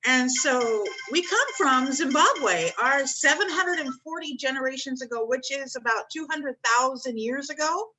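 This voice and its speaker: A woman's voice: high voice, lively intonation, normal speed, hardly any pauses, over music, rough recording. A woman in her fifties, talking earnestly in a spiritual chat and repeating her thanks. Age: 50s.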